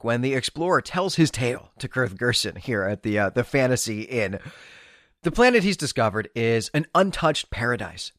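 A male podcast host talks through books in an analytical, bookish way. His mid-pitched voice moves around a lot as he stresses key phrases, and the sound is dry and close, with very little room in it. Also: fairly bright tone, no room sound. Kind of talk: literary podcast commentary on science fiction.

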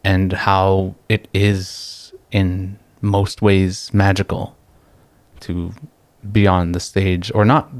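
A young man from the Philippines, a guest talking in a relaxed, reflective way, pausing often and laughing easily. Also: low-pitched, no room sound. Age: young adult. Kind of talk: casual interview chat.

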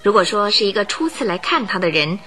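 A woman narrating a radio drama as a lively storyteller, tossing in rhetorical asides. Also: medium pitch, fast, faint music behind.

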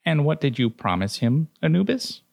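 A man voicing an old, hunched female fate goddess who talks in a brisk, knowing, grandmotherly way. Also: a little high, sing-song, vocal fry, darkish tone, no echo, pitched above the performer's own voice, full character voice.

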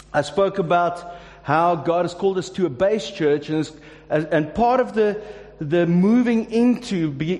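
A man's voice: high voice, a little mellow. A man preaching a sermon to a church congregation, with a casual, joking manner and frequent restarts. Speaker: pastor. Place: church hall. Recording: long hall reverb.

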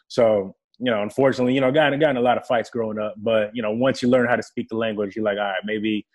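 Low-voiced man telling his story. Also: steady tone, fast talker. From Democratic Republic of Congo. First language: French. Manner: earnest, vivid storyteller. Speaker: guest, player engagement staff for a football team. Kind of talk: casual interview storytelling.

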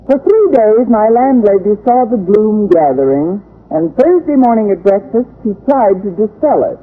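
A woman's voice: medium pitch, animated, normal speed, lightly acted. An actress playing a wisecracking woman English teacher with dry, sardonic delivery in an old radio sitcom. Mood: wry narrating. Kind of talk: 1940s-50s radio sitcom acting. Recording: noisy, tinny old-time radio recording.

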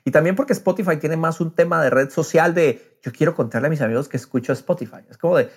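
A man's voice: on the high side, fast, breathy edge. A man chatting casually on a podcast, dropping English phrases into his speech and acting out imagined quotes. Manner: drops English phrases into Spanish. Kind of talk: casual conversational podcast talk.

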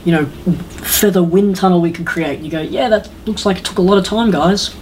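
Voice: mid-range voice, a little fast, a little mellow. A podcast host chatting casually about films in an informal way, often acting out mock quotes. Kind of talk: casual chat, film opinions. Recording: noisy room with background ambience.

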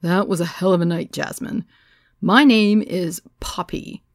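A woman voicing a tall, loud, tipsy Greek woman who gushes praise, her voice gravelly and rising high. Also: unhurried, dry recording, studio quality. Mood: effusive. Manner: loud, effusive.

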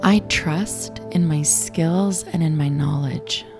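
A young woman with a raspy voice, hosting a show and reading affirmations slowly and soothingly. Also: low voice. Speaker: affirmation and meditation host. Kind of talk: guided affirmations. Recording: clear music bed behind voice.

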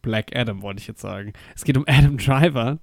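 A man's voice: medium pitch, brisk, gravelly, dry recording. Casual podcast co-host, young man, slightly under the weather with a cold, slangy and talkative, close dry sound. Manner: chatty, slangy.

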